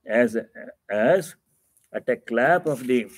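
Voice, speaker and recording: A man's voice: medium pitch, lively intonation, slow pace. A man giving a religious discourse as a monk, reading scripture aloud and explaining it in a didactic, emphatic way, repeating key phrases for stress.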